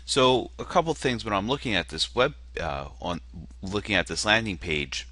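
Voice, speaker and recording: A man's voice: fairly low pitch, sing-song, normal speed, bright, forceful tone, dry recording. A man with a nasal voice, casually walking through a web page on screen, self-deprecating and hesitant with many fillers. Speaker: marketer critiquing a landing page. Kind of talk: casual screen-share walkthrough.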